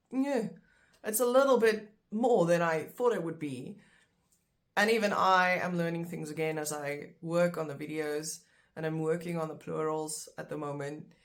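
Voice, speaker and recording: A woman, an Afrikaans speaker, teaching a casual online lesson and explaining step by step with chatty fillers. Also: fairly low pitch. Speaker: language teacher.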